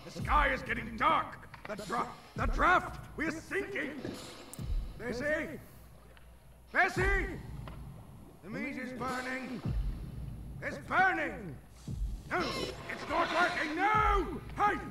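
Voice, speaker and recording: Crazed elderly sea captain, theatrical game ranting, high-pitched, heard from afar. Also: male voice, expressive, slow pace, creaky, long pauses, heavily acted. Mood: panicked. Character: crazed old ship captain reliving a sinking. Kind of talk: video game voice acting. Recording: distant, very noisy game audio.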